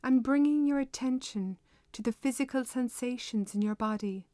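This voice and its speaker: A woman leading a guided meditation with measured, unhurried, gently instructive delivery. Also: sits high, dry recording, clean studio sound.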